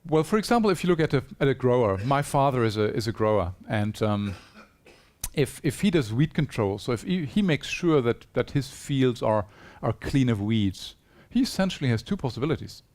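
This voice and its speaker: A man's voice: medium pitch, very animated, moderate pace, darkish tone. A man giving a conference presentation in a hall, earnest and persuasive, putting rhetorical questions to his audience. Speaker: conference speaker.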